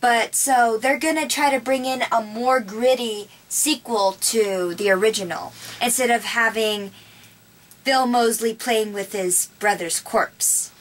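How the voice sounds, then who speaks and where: A woman's voice: on the high side, measured pace, poor audio. A young woman co-hosting a casual horror movie chat in a small room, chatty and asking questions. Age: young adult. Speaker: horror channel co-host.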